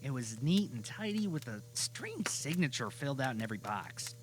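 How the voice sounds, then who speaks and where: Animated male audio drama narrator. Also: mid-range voice, moderate pace, noisy background.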